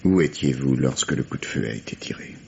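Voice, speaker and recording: An actor playing a gruff, weary, relentless police detective in 1950s radio mystery style, questioning with menacing, mock-fatherly insistence. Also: very deep voice, expressive, brisk, warm tone, heavily acted. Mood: stern, pressing. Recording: dull vintage radio drama recording.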